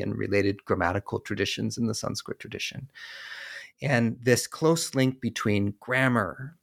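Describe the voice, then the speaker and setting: A man being interviewed as an author and scholar, explaining ideas in a measured way with frequent ums and uhs. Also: fairly low pitch, gravelly, fairly bright tone.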